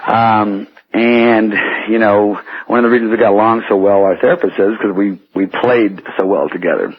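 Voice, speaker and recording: An American man calling a radio show, telling a personal story in a halting way over the telephone. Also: on the low side, fairly even intonation. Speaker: phone-in caller. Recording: tinny, muddy telephone line.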